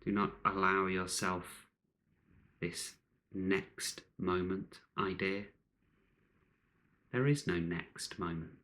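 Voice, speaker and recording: A man leading a guided meditation in calm, measured short phrases with long pauses between them. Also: sits low.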